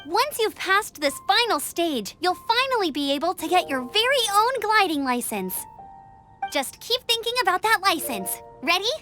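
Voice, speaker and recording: A woman's voice: very high-pitched, big pitch swings, moderate pace, pushed and bright, soft background music, full character voice. A woman voicing an eager young gliding instructor in a game, upbeat and encouraging.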